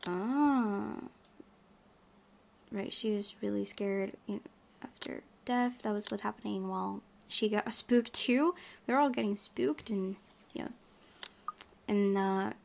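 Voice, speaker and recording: A woman hosting a gaming video, reading story text aloud and chatting informally about it with expressive reactions. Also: medium pitch, vocal fry, a little hiss or hum. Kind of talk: reading a game's narration aloud with casual commentary.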